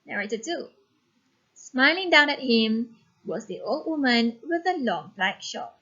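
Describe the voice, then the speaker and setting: A woman with a high, bright voice teaches and reads aloud like a storyteller. Her delivery is unhurried and very lively in intonation, phrased clearly for learners, on a slightly dull recording. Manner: animated, clearly phrased, instructive. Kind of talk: expressive story reading and lesson instruction.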